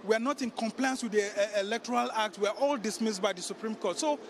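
Middle-aged male political correspondent, Nigerian accent, high voice, measured live report, faint background noise. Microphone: handheld microphone.

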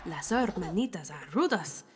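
A woman's voice: on the low side, lively intonation, moderate pace, big-room sound, very noisy. A woman storyteller reading a children's picture book aloud in a theatrical way, moving between whispers and exclamations.

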